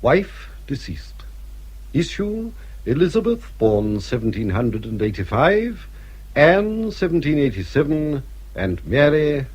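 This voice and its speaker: An actor playing a vain, snobbish middle-aged English baronet in a radio drama, pompous and fussy. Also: male voice, a little high, darkish tone, breathy edge, exaggerated voice. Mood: self-satisfied.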